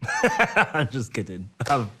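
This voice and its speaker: An actor playing a joking, self-deprecating veteran racing driver, chatting haltingly to an interviewer in a game story. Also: male voice, medium pitch, wildly swooping pitch, sharp tone, slight background noise, full character voice. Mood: playful, self-deprecating. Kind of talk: informal documentary interview acting.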